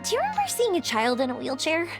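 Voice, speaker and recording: The bright-spirited young heroine of an anime-style game quest, with swooping, animated lines over a soundtrack. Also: female voice, high voice, quick pace, exaggerated voice. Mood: earnest, urgent. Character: energetic, earnest, helpful young woman leading a search. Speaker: video game character voice. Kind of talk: scripted game dialogue. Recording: music behind the voice.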